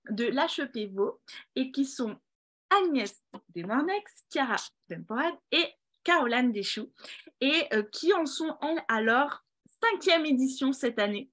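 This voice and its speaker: A woman's voice: on the high side, a bit bright. A woman hosting an award ceremony on a video call, speaking encouragingly to children, with frequent fillers. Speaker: ceremony host. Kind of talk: presenting a prize ceremony.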